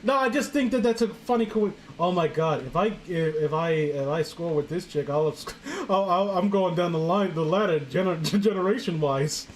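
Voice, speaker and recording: A woman's voice: fairly low pitch, moderate pace, few pauses. A woman hosting a casual comedic reading, joking crudely and irreverently as she riffs with friends. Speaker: host of a story-reading show.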